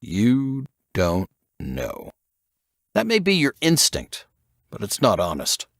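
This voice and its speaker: A man plays an old intelligence veteran, stern and probing. His voice sits low and he speaks in terse, deliberate commands, as in audiobook dialogue, with a very dry sound. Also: huge pitch swings, creaky, no room sound, full character voice. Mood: stern, challenging.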